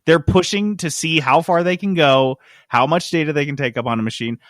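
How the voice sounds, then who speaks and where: A man's voice: fairly high pitch, brisk, no room sound, clean studio sound. A man co-hosting a casual gaming podcast, talking in a joking, sarcastic way. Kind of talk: casual gaming news chat.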